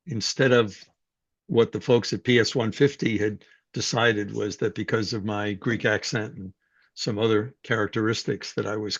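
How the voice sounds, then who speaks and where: An older man tells his life story in a low, reflective voice, pausing on fillers and restarting phrases as he goes. The recording is dry and a little dull, with no room around him. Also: vocal fry, a bit bright, no room sound. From New York City, USA. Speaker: guest speaker. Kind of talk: personal life story, conversational talk.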